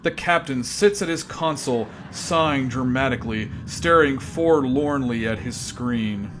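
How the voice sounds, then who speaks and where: A man's voice: mid-range voice, unhurried, background noise. A man narrating a comedy radio drama with grand, theatrical delivery.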